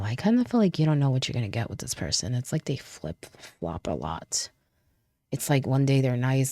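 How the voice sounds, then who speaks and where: A woman with a cold giving a casual, chatty tarot reading, her voice stuffy and often dropping to a soft half-whisper. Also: extremely low pitch, no room sound. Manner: casual and chatty, with frequent laughs and fillers. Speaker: tarot reader.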